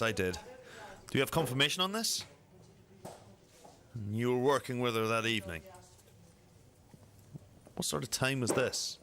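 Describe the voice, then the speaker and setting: Guy playing a methodical detective in a radio drama, low voice, formal questions, bit of background noise. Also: pushed and bright. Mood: businesslike. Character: methodical male police detective, probing and matter-of-fact. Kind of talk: amateur radio drama acting.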